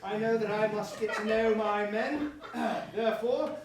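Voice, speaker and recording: A man acts out a pompous young prince, bitter and grand, in a verse comedy. The voice is high and theatrical, speaking formally. It comes from well off the mic in a strongly reverberant room with faint noise. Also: unhurried, recorded from a distance, a crowd in the background. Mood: curious, condescending. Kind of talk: staged reading of verse comedy.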